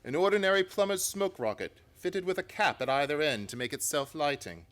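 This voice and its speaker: Man as commanding detective, higher pitch, very expressive, confident, secretive, radio drama. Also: brisk. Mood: brisk. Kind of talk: theatrical radio play acting.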